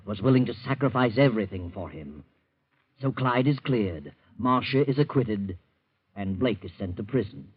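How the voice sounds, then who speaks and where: An American actor playing a sardonic, mysterious storyteller, narrating a 1940s radio mystery in a deliberate, theatrical way. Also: male voice, lowish voice, slightly rough. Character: sardonic mysterious male storyteller of crime tales. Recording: muffled old radio broadcast, music behind.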